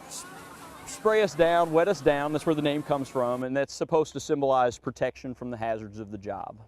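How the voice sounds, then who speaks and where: Man, firefighter, mid-pitched voice, explanatory, hesitant fillers, faint background noise. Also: moderate pace, darkish tone. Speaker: firefighter officer. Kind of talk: on-site interview.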